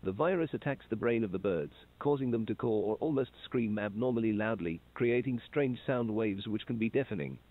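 Low flat-voiced man on a tinny line. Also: a little fast, few pauses. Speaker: narrator of a horror video. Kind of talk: calm scripted instructional narration. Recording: tinny phone-like narration.

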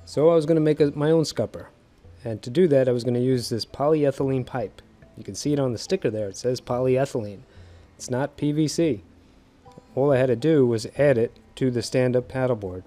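A man's voice: medium pitch, a little fast, mellow, soft background music. Easygoing man narrating a boat project. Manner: easygoing, chuckles at himself. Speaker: fishing video host. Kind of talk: casual how-to narration. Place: on a docked boat outdoors.

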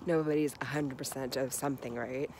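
A woman's voice: rumbling low, fairly even intonation, brisk. A woman in her thirties chatting casually in a vlog, filmed on a phone held at arm's length outdoors and in a car. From United States. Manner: chatty, self-correcting, recorded at arm's length while walking and riding. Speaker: vlogger. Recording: noisy phone recording outdoors.

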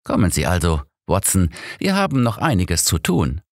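A man's voice: deep voice, huge pitch swings, moderate pace, no room sound, heavily acted. A man voicing a sober, wry old detective in an audiobook, dry and matter-of-fact. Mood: sober, brisk. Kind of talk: audiobook character acting.

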